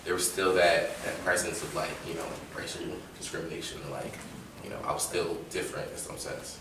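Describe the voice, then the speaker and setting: A man's voice: low-pitched, flat delivery, brisk, background noise. A man speaking hesitantly with many fillers in a casual group discussion. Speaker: student panelist. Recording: very echoey room, mic far off.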